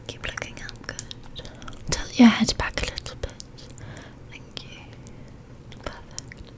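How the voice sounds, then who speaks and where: A young woman whispering softly and reassuringly into a close microphone in an ASMR roleplay. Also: on the high side. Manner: soft whispering, gentle and reassuring. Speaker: ASMR creator.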